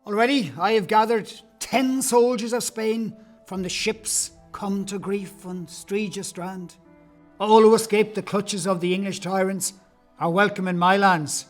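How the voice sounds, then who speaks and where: A proud, strong Gaelic chieftain, played by a man in an audio play. He declaims loudly, with command and defiance. There is faint music behind him. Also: sits high, steady tone, unhurried. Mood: proud, defiant.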